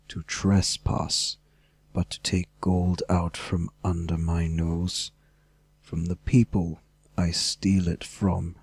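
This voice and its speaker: Man voicing a low, slow, menacing dragon brute. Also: husky, dry recording, heavily acted. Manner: slow, deliberate, threatening. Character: brutish, menacing male dragon in rabbit form.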